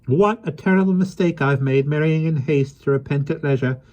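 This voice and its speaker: A man's voice: a little high, moderate pace, a little mellow, lo-fi sound, voice pushed up, natural voice. A man reading a storybook aloud, voicing a cheerful, chatty little carpenter with quaint old-fashioned sayings. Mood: rueful.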